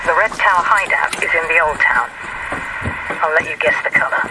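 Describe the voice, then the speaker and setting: A woman playing a brisk, dry-witted resistance dispatcher in a sci-fi game, speaking clipped, businesslike lines through a radio filter. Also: low voice. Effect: radio transmission filter. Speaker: mission-giving game character. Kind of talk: scripted radio briefing in a game. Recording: distant, very noisy radio transmission.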